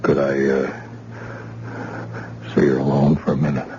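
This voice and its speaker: A man plays a wounded plumber in a vintage radio play, with a very low gravelly voice and dark tone. his lines come weak and halting, and the old recording sounds dull with faint hiss. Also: monotone. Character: wounded working-class plumber in hospital bed. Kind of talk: old-time radio drama acting.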